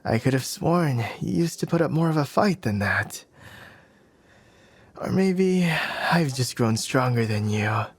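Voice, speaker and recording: A man's voice: mid-range voice, expressive, unhurried, no room sound. A man playing a cocky, teasing young warrior in an ASMR roleplay, smug and playfully possessive toward the listener. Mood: smug, taunting. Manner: teasing, smug, breathy sighs and chuckles.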